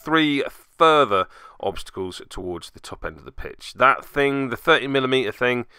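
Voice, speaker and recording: A man's voice: medium pitch, expressive, moderate pace, bright, forceful tone, clear, resonant tone, dry recording, clean studio sound. A man hosting a tabletop game web series, giving chatty voice-over commentary with wry, cheeky asides. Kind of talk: casual scripted-feel commentary over game footage.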